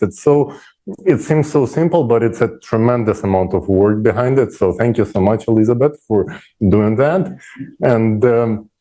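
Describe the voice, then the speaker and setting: Man, mellow dark tone, informal, emphatic, repeats words, livestream panelist. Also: mid-range voice. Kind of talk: casual enthusiastic discussion.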